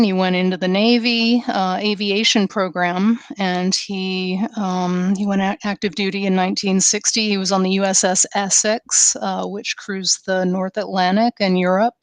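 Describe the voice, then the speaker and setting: A woman co-hosting a casual podcast, speaking earnestly and haltingly with frequent ums. Her voice is smooth and clear but fairly flat in pitch. The sound is dry and close to the mic. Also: no room sound. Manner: many fillers, halting, earnest. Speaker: podcast co-host.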